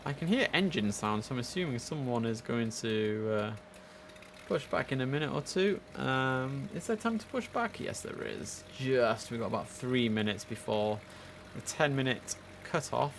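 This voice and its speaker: A man's voice: medium pitch, animated, moderate pace, a little hiss or hum. A young man giving chatty, enthusiastic casual commentary as he streams a game live from indoors. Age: young adult. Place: indoors at a computer.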